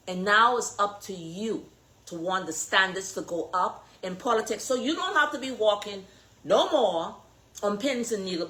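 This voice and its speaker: A woman with a Bahamian accent delivers a fervent political speech at a middling pitch. She is emphatic and confrontational, pressing her listeners with pointed rhetorical questions. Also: bright, forceful tone, poor audio. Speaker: political candidate. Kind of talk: impassioned political address.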